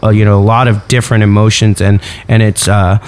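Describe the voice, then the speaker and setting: A man co-hosting a podcast in casual chat, speaking haltingly, repeating himself and swearing often. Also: lowish voice, level tone, darkish tone, smooth. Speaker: podcast co-host. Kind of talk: casual chat about true crime.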